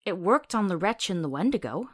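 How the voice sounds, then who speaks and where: A woman's voice: medium pitch, big pitch swings, a little fast. An actress playing a sardonic, practical woman who travels as a companion in a fantasy visual novel, with a wry manner.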